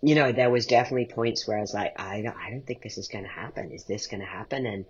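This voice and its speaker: A man's voice: lowish voice, quick pace, husky. A man speaking as an interview guest, reflecting thoughtfully and voicing questions to himself as he talks. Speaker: guest, playwright and podcast creator. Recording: dull, muffled lo-fi sound.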